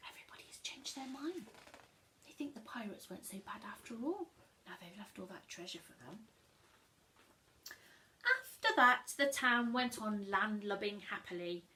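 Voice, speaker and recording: A woman reading a storybook aloud to children as a teacher would, animated and emphatic, talking directly to young listeners. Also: mid-range voice, normal speed, pauses often, slight background noise.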